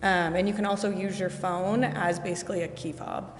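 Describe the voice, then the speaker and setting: Woman vendor talking thru a pitch, lots of ums, long reverb in the hall. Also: steady tone, moderate pace, slight background noise. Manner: many "um" fillers, explanatory sales pitch. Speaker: vendor presenting a security system. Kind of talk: presentation to a city council. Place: council chamber. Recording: echoey chamber with long reverb.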